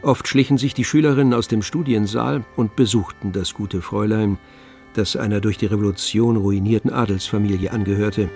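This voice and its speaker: A man giving measured, articulate literary narration for a radio play. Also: on the low side, fairly even intonation, creaky, darkish tone. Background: music.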